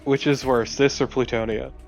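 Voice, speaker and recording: A man's voice: mid-range voice, fairly even intonation, normal speed, vocal fry, faint music behind. A man chatting casually as a let's play co-host, blunt and sometimes profane. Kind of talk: casual gaming chat.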